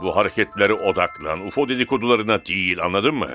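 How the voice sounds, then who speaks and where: A man's voice: on the low side, fast talker, pushed and bright, faint music behind. A man voicing a firm, authoritative football coach in a cartoon dub. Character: stern male football coach, commanding and strategic. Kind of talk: dubbed cartoon acting.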